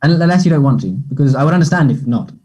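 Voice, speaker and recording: An earnest, unsure young man, acted by a man in a play reading. His voice is soft-edged, mellow and slightly high, with some huskiness. He speaks haltingly and apologetically, as if feeling for each phrase. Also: expressive, slightly rough. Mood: hopeful, shy. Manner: hesitant, halting. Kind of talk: play table read.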